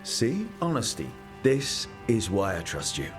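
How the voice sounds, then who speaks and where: Game cutscene, supportive male team leader, fairly deep, reassuring delivery, music underneath. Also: sing-song, measured pace. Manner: reassuring, encouraging. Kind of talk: video game cutscene acting. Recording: music behind the voice.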